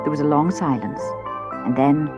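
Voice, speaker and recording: A woman's voice: deep voice, moderate pace, lo-fi sound. A woman, reading a children's storybook expressively. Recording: cassette storybook with faint music.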